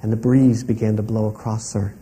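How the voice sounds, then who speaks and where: A man telling a story aloud in a calm, rhythmic way, linking each step with "and". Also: lowish voice, brisk, a little hiss or hum. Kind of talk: oral storytelling.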